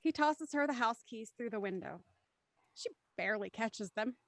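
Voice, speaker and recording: A woman reading scripted stage directions for an audio drama in a crisp, matter-of-fact way. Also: on the high side, animated, moderate pace, no echo, studio quality. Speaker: narrator.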